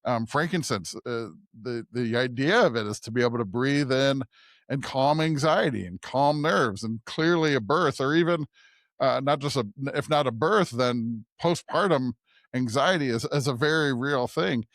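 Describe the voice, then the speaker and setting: A man co-hosting a podcast as a preacher, discussing scripture conversationally in a halting way, searching for words and often checking in with "right?". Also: mid-range voice, a bit bright, dry recording, studio quality.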